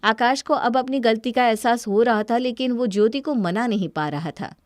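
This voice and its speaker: A woman's voice: on the high side, normal speed, no echo, studio quality. A woman narrating a scripted family story.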